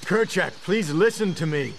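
Earnest young jungle man for a video game: pleading, halting, expressive pitch, over clear ambient noise. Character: earnest young wild man raised by gorillas. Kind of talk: video game cutscene acting.

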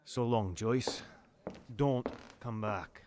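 A man's voice: medium pitch, very slow, darkish tone, slight background noise. A man playing an abusive, jealous husband in a radio drama, blunt and menacing. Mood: cold, dismissive. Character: abusive, jealous young British husband, a violent crook.

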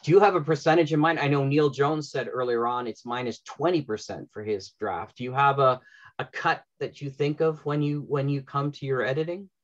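A middle-aged man hosts a panel in a conversational interview style. His pitch is average for a man, and the video call leaves the sound a little dull. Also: brisk. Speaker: panel host. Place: indoor room at home, video call.

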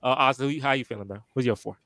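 A man's voice: medium pitch, fast, a bit bright, no room sound. A man hosting a casual sports talk on a video call, hyped and slangy, teasing his guests. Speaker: podcast host. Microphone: headset mic on a video call.

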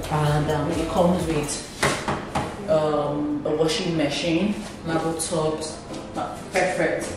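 A woman's voice: low-pitched, distant mic, background noise. A young Nigerian woman giving an enthusiastic, exclamatory walkthrough of a house, recorded on a camera a few steps away. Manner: gushing, exclamatory, repeats praise. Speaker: vlogger giving a house tour. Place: empty furnished house, indoors, echoey rooms. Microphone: camera mic, filmed from a few steps away. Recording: roomy echo, off-mic, loud music bed.

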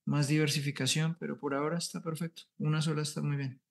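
Male trading instructor, upbeat and reassuring, slightly high-pitched. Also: little pitch movement, fast, gravelly. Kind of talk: online class Q&A.

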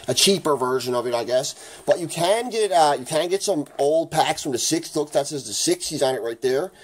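A man with a raspy voice from the flu, chatting casually as he opens hockey card packs in his home kitchen. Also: a little high, normal speed. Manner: chatty, rambling, excited at good pulls. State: raspy, getting over the flu. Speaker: hockey card channel host. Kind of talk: casual card-opening vlog.

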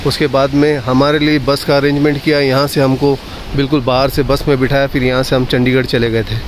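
A man's voice: medium pitch, flat delivery, a little fast. Man vlogging over outdoor noise. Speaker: fishing channel host. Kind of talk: casual spoken vlog to viewers. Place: outdoors by water, partly on a boat. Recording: noisy outdoor recording with boat sounds.

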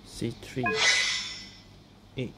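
A man's voice: very slow, very noisy. A man giving casual gameplay commentary in short, sparse remarks, as if to himself. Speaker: gaming video host. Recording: loud game music behind the voice.

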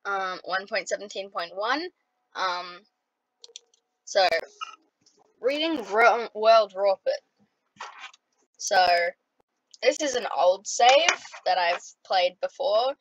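A woman with an Australian accent, chatting casually as she plays a computer game, with lots of ums and sudden excited outbursts. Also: medium pitch, takes it slowly, pauses often, a little hiss or hum, low-quality recording. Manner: rambling, many ums, bursts of playful excitement. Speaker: gaming vlogger.

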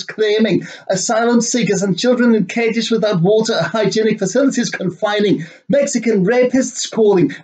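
A man's voice: extremely high pitch, a little mellow. A middle-aged man vlogging in a living room, giving rambling, wordy reviews and sarcastic commentary. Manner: sardonic, wordy. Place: small living room, indoors.

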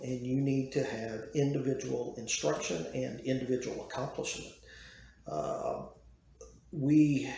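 Gravelly older man in an echoey room. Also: mid-range voice, mellow. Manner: measured, many "uh" fillers and restarts. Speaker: woodworking educator. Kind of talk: unscripted talk to camera. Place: dim small room indoors.

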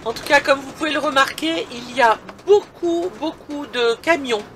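Female vlogger, high and bright, informal and chatty, recorded in a camper cab with some road noise. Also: moderate pace. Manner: chatty, addressing viewers directly. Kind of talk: casual vlog narration while driving. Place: inside a moving or parked camper van. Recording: vehicle engine and road noise.

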